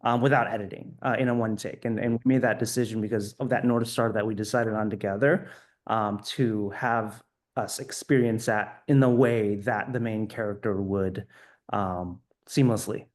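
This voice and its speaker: A man's voice: fairly low pitch, steady tone, normal speed. A man answering as a film director at a festival Q&A, thoughtful and full of ums and restarts.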